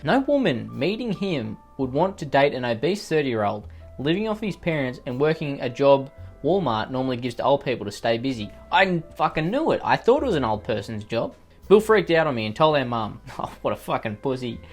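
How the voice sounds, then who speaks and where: A man's voice: mid-range voice, sing-song, a little fast, husky. An Australian man reads online posts in an ordinary mid-range voice and keeps breaking off into sweary, sarcastic tangents. His talk rambles and circles back on itself as he riffs for laughs. A faint guitar plays behind him. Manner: profane, rambling, sarcastic asides. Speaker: YouTuber reading and reacting to online posts. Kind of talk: comedic commentary on read-out posts.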